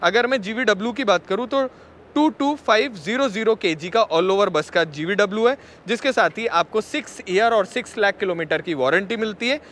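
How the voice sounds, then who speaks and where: A man's voice: high voice, normal speed, a bit bright, slight background noise. A man presenting a vehicle walkaround in a chatty way, talking to his viewers, recorded outdoors at an expo. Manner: chatty, addresses viewers as friends. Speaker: vehicle reviewer.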